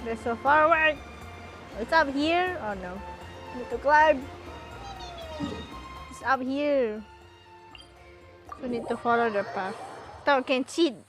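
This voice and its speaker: High-voiced woman streaming a game. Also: slow, long pauses, a bit bright. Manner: playful, teasing, reactive. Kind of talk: casual live gameplay commentary. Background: game music.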